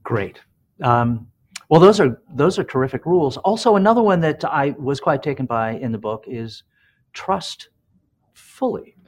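A man's voice: mid-range voice, animated, unhurried, a little mellow. A man hosting a podcast, asking long questions with many fillers and restarts. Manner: hesitant, many ums and restarts. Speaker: podcast host. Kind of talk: interview questions.

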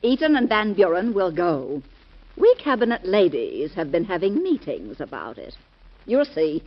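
An actress playing an indignant, haughty society wife in a 1940s radio drama, on a dull old broadcast recording. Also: female voice, fairly low pitch, very animated, a little slow. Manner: haughty, scandalized.

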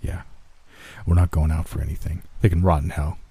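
A man voicing a gruff, defiant male companion in hiding in a horror story. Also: rumbling low, steady tone, brisk, warm tone, no echo, voice pushed down, exaggerated voice.

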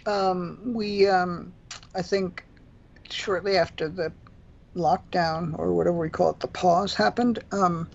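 A man who is a musician guest on a radio show, answering haltingly with many ums. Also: sits high, fairly even intonation, gravelly. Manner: hesitant, halting, full of ums. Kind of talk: casual radio interview answers. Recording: dull lo-fi line with faint noise.

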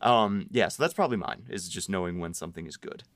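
Low-voiced man, halting casual talk, dry. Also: lively intonation, a bit bright, dry recording. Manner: halting, self-quoting. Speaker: creative production team member. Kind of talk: casual behind-the-scenes discussion.

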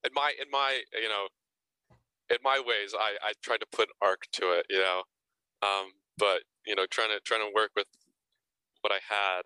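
A man's voice: on the low side, steady tone, moderate pace, pushed and bright, clear, resonant tone, no room sound, rough recording. A young man being interviewed as an actor guest, answering casually and hesitantly, often repeating words and adding fillers.

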